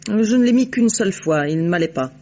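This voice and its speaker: An actress playing a haughty, shrewd, mercenary society woman in a vintage French radio drama, with poised, disdainful, deliberate delivery. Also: mid-range voice, animated, warm tone, lightly acted. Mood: composed, evasive. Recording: dull, noisy old radio recording.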